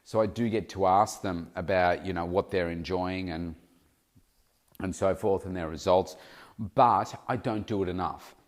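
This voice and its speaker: A middle-aged man with a somewhat low voice hosts his own podcast as a coach. He sounds a touch nasal from hay fever. He thinks aloud in a loose, casual way, often stopping to correct himself mid-sentence. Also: measured pace. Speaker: podcast host and weight loss coach. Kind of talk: casual solo podcast talk.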